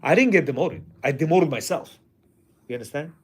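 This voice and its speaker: A middle-aged man with a loud voice giving a lively, jokey class to a group of men, acting out what people said. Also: fairly high pitch, a little fast, breathy edge. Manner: animated, jokey, acts out quotes. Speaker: class teacher. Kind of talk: lively lecture to a men's class.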